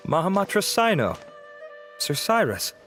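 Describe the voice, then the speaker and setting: A man's voice: high voice, wildly swooping pitch, measured pace, fairly bright tone, heavily acted. A man voicing a dutiful officer in a video game, formal and respectful in his reporting. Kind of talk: animated video game voice acting. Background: music.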